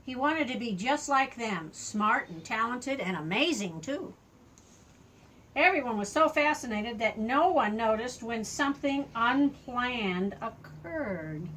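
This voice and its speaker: High-voiced older woman reading a slow storytime. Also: a little hiss or hum, low-quality recording. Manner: simple, expressive, explaining asides for a small child. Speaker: storyteller grandmother reading a children's book. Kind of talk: storytime read-aloud with asides to a child.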